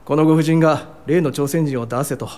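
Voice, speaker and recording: A man's voice: a little high, brisk, a little mellow, lightly acted. A man playing a stern, officious police officer at a jail in a radio drama. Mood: reluctant. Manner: curt, officious.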